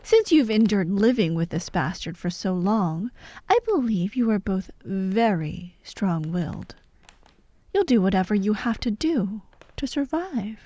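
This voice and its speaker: A woman's voice: medium pitch, lively intonation, a little slow, dark tone, no echo. A woman playing a vengeful fired actress holding hostages in an ASMR audio drama, taunting and theatrical, often dropping to a whisper. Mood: gloating, cruel. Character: vengeful fired actress, sadistic hostage-taker directing victims.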